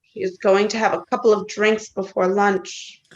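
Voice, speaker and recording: A woman playing a dismissive, philosophizing country doctor in a play reading over a video call, measured and matter-of-fact. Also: medium pitch, little pitch movement, natural voice. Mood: unruffled. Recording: slightly dull video-call audio.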